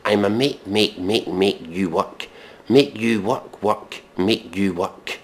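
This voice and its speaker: A man giving a mock dramatic reading in deadpan, with exasperated, scornful, sweary commentary. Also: fairly low pitch, takes it slowly, a little hiss or hum. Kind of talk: deadpan recitation of pop lyrics with sweary commentary.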